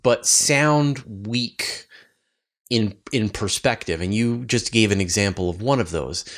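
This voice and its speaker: Lower-pitched man hosting a conversation. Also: measured pace, a bit bright. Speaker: interviewer and show host. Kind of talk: conversational science interview.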